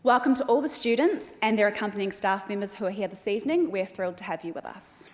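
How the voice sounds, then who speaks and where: A woman's voice: mid-range voice, animated, background noise. Woman with a Kiwi accent in a hall. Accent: New Zealand. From Australia. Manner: measured, reads names slowly and deliberately. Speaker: event host. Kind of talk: formal welcome speech. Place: large hall at an evening event. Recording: long hall reverb.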